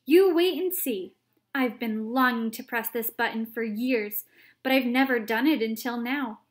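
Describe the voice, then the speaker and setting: A woman voicing an eccentric, excitable male chocolate maker who gushes with theatrical exclamations. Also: a little high, lightly acted. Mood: exuberant. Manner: gushing, theatrical, rapid exclamations.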